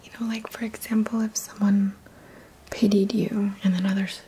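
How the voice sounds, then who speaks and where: A woman in her thirties whispers gentle, soothing affirmations as an ASMR energy healer, in a soft, breathy voice close to the mic. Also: medium pitch, flat delivery, slight background noise, low-quality recording. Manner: gentle, slow, deliberate phrasing with pauses. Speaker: ASMR energy healing practitioner. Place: small dim indoor room. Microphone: close mic, seated near the camera.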